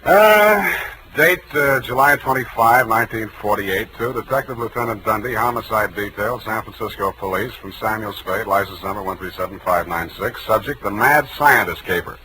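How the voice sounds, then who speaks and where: An actor playing a wry, laconic, tough private detective in a 1940s radio drama. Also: male voice, fairly low pitch, expressive, normal speed, a crowd in the background, lightly acted, rough recording. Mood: wry, weary. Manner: laconic, sardonic, offhand. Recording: vintage 1940s radio broadcast.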